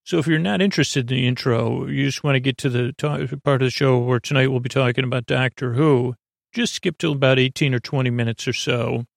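A man with a creaky voice hosting a sleep podcast, meandering haltingly through a goofy, self-deprecating bedtime monologue. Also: medium pitch, fairly even intonation, no room sound, clean studio sound. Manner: meandering, halting, trails off and repeats himself. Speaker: podcast host. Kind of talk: rambling bedtime monologue for falling asleep.

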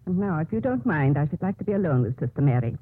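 A woman's voice: deep voice, sing-song, fast, husky, full character voice. An actress playing a stern, authoritative Mother Superior in a 1950s radio drama. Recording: muffled old radio broadcast with music.

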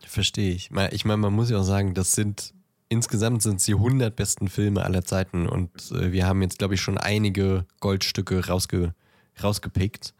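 A man with a lowish, gravelly voice talks casually as a podcast co-host. He thinks aloud in a halting, searching way. The sound is dry and close, with no room around it. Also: little pitch movement, no echo. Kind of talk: casual chat, ranking films.

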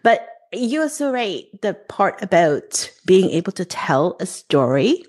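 A woman's voice: mid-range voice, sing-song, slow pace, darkish tone. A middle-aged woman speaking as a podcast host in a casual interview, earnest and reflective, sometimes feeling her way through a phrase.

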